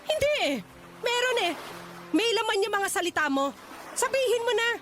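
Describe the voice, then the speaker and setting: A woman playing a shrill, bossy stage mother. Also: lively intonation, moderate pace, noisy background. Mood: domineering. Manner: bossy, scolding, dismissive. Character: pushy, domineering middle-aged stage mother. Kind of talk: audio drama acting.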